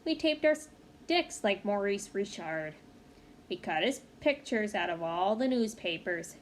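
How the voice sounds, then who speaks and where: A woman reading a children's picture book aloud in an expressive, engaged way, with small asides to her listeners. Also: medium pitch. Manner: expressive, pausing to comment to young listeners. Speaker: read-aloud storyteller. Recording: clearly noisy home recording.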